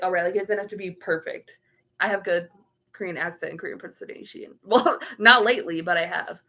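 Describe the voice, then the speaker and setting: Young woman, casual livestream chat, rambling, mid-pitched voice. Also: a bit bright. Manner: rambling, trails off searching for words. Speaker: streamer reading chat.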